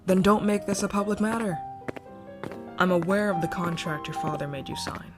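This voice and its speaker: A man's voice: high voice, normal speed, natural voice. Man playing a naive teenage prince with music behind. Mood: earnest advice. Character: naive, indignant nineteen-year-old prince, earnest and teasing. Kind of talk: fantasy audio drama acting.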